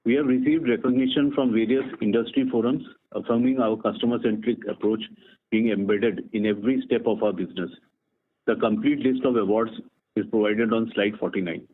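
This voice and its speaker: A man with an Indian accent reading a formal, measured scripted business presentation as a company executive. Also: fairly low pitch, steady tone. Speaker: company executive on an earnings call. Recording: tinny phone line with clear background noise.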